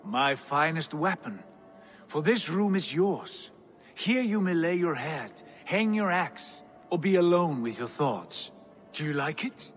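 An actor playing a proud, commanding Viking jarl in a video game, speaking formally and with authority. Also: male voice, a little high, animated, slow. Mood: brotherly assurance. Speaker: clan leader character. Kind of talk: video game cutscene acting.

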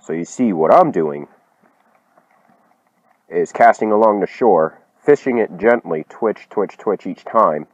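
A young man vlogging from a small boat on a lake, narrating his fishing and getting loudly excited at every catch. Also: low voice, no room sound, dull audio. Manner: excitable, whoops and laughs at strikes.

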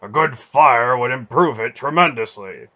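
A man's voice: on the low side, measured pace, bright, forceful tone. A man voicing a blunt, burly warrior who talks in curt, contemptuous remarks, in a storybook reading. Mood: gruff. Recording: dull lo-fi audio.

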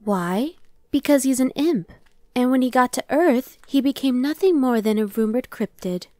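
Female demon searcher, played by a woman: impatient, dismissive, conversational ASMR roleplay, slightly high pitch, very dry sound. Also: expressive, moderate pace, mellow, no echo. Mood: sardonic. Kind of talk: ASMR roleplay, one-sided dialogue.

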